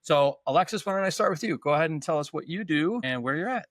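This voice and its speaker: A man's voice: on the high side, animated, fast, no room sound, clean studio sound. A man in his fifties hosting a podcast interview over a video call, chatty and rambling, often restarting his sentences. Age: 50s. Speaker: podcast host. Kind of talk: casual interview chat.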